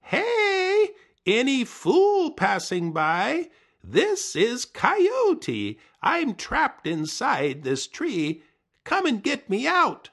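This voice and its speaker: A man voicing a boastful, bossy male coyote who shouts and commands. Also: very high-pitched, wildly swooping pitch, very slow, pitched above the performer's own voice, heavily acted.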